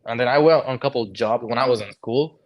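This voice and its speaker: A young man in his twenties from Lebanon, a guest chatting casually and telling his story in a relaxed way. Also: medium pitch, fast talker. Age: 20s. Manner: relaxed storytelling, lots of "bro" and "like", acts out quoted conversations. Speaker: guest, HVAC technician. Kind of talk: casual podcast chat.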